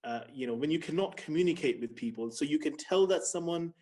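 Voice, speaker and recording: A man's voice: fairly high pitch, expressive, brisk, mellow. A man speaking as a learner on a language show, talking about himself in an articulate, earnest, unscripted way. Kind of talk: unscripted personal talk to viewers.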